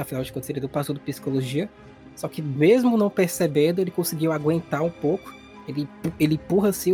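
A man's voice: on the high side, mellow. A man with a Brazilian accent narrating a tabletop role-playing game as game master, colloquial and explanatory.

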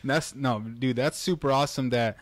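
A man's voice: medium pitch, normal speed, no echo. A man hosting a church interview, enthusiastic and slangy, often calling his guest bro. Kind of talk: casual interview.

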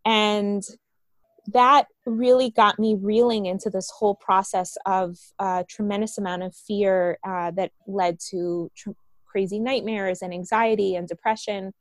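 A woman's voice: mid-range voice, steady tone, unhurried, no room sound. Earnest woman guest, American accent, from Colombia, dry recording. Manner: earnest, open storyteller. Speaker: guest, vitality coach and author. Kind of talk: casual interview storytelling.

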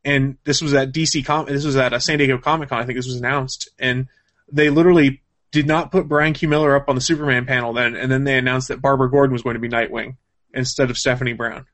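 A man's voice: mid-range voice, steady tone, fast, fairly bright tone. A man co-hosting a casual gaming and comics news stream, talking in a chatty, opinionated fan style. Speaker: show co-host.

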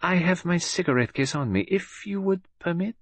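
Unflappable precise detective, as a male reader puts him on, quiet and formal, slightly raised pitch, husky, lively lilt, storybook. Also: normal speed, no echo. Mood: calm.

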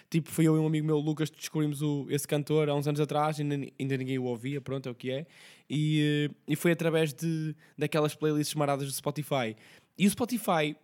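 A hoarse young man with a Portuguese accent, hosting his podcast as a rambling, slang-heavy casual chat. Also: a little high. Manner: slangy, rambling, quick talk with many fillers. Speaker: podcast host.

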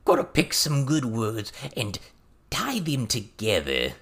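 Crude little goblin tutor for an audiobook, male narrator acting, excitable, theatrical, swinging widely in pitch. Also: takes it slowly, creaky, husky, heavily acted. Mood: eager, instructive.

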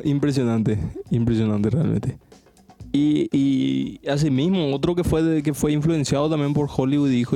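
A man tells a rambling, slangy story on a podcast among friends. His voice is mellow and soft-edged, and he drives the point home by repeating himself. Faint music runs underneath. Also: medium pitch. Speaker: podcast host. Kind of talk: casual chat among friends.

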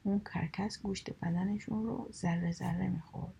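A woman reading animal fables aloud in a soft, steady whisper, storytelling for relaxation. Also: fairly low pitch, gravelly, a little hiss or hum.

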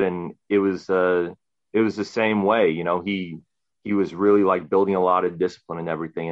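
Low-voiced earnest man of thirty. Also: lo-fi sound. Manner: earnest, rambling with fillers. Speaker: podcast guest. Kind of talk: casual round-table talk.

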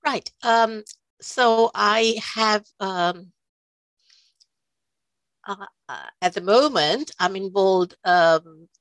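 A woman's voice: mid-range voice, very slow, long pauses, fairly bright tone, no echo, poor audio. A middle-aged woman from Sri Lanka, a church administrator, who answers interview questions in a thoughtful, hesitant way with many fillers. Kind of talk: conversational interview.

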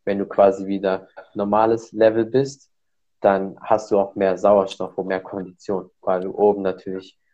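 Brief: fairly low male host, chatty explainer, muffled lo-fi audio. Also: flat delivery, moderate pace, mellow. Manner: explains at length, many fillers. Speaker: podcast host. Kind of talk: casual podcast conversation.

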